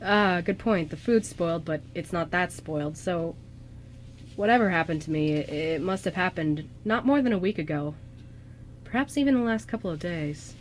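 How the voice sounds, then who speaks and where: Radio play role: gentle ghost lad played by a woman, sincere and halting, lightly noisy recording. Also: on the low side, voice pushed down, close to an everyday voice. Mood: thoughtful, rueful. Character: earnest young man, a ghost, gentle loyal buddy. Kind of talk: amateur radio drama script reading.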